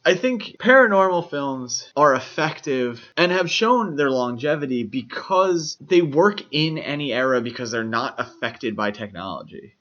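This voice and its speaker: A man co-hosting a podcast, discussing films casually with measured, analytical phrasing. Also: medium pitch, expressive, fairly bright tone. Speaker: podcast co-host. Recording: dull lo-fi microphone sound.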